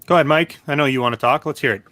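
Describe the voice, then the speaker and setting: A man's voice: mid-range voice, racing, bright, forceful tone, no room sound. A man from Canada co-hosting a show in casual, bantering conversation. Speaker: show co-host.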